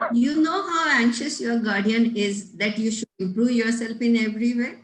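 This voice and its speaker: A woman playing a prim, pompous older governess in a table read of a Victorian comedy, formal, didactic and scolding. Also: on the high side. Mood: stern, instructive. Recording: roomy, echoey home recording.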